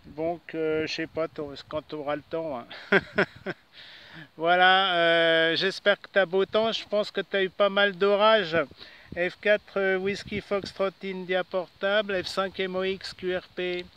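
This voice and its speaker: A man's voice: high voice, flat delivery, unhurried, bright, forceful tone. A man chatting hesitantly in a casual amateur radio contact, using call signs and frequent tag words. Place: outdoors in a city park. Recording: clearly noisy outdoor recording with clicks.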